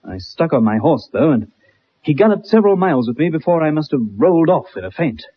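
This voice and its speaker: An actor playing a bitter, haunted young ex-soldier in a dramatic 1960s radio play. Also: male voice, fairly high pitch, animated, a little fast, close to an everyday voice. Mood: grave, reminiscing. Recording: muffled, dull old radio recording.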